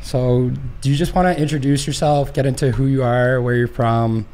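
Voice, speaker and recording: A man hosting a podcast at an ordinary middle pitch. His manner is relaxed and loose, nudging the guest along with easy questions and agreement. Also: normal speed. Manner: relaxed, encouraging interviewer. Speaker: podcast host. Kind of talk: casual interview chat.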